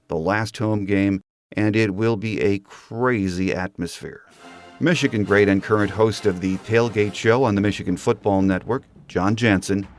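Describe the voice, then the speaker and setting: An American man hosting a sports podcast, delivering prepared commentary and introducing his guest. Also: lowish voice. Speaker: podcast host. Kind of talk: sports podcast hosting, scripted monologue and interview.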